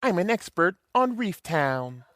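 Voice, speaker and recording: A woman's voice: on the low side, big pitch swings, unhurried, fairly bright tone, no echo. A woman voicing an adventurous, enthusiastic young kid with eager exclamations in a children's cartoon. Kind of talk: children's cartoon and game voice lines.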